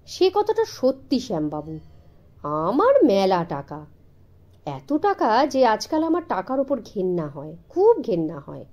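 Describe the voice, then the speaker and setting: A woman's voice: medium pitch, huge pitch swings, a little slow, darkish tone. A woman voicing a grand, boastful rich man in a children's story, grave and pompous in manner. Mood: haughty boasting.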